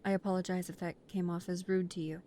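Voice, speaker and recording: A woman's voice: lowish voice, flat delivery, fast, warm tone, a little hiss or hum, lightly acted. A woman playing a nervous, deferential mafia recruit who speaks politely and formally, with hesitation, in an audio roleplay. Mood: nervous, apologetic.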